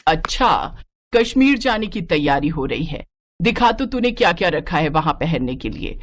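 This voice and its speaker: A woman's voice: deep voice, sing-song, normal speed. A woman voicing a strict, nagging middle-aged mother-in-law in a story, with a bossy, scolding manner. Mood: prying, sly.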